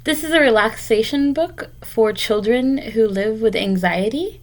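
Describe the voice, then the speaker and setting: A woman's voice: on the high side, measured pace. A woman teacher reading a picture book aloud to young children, explaining and encouraging them in simple, direct words.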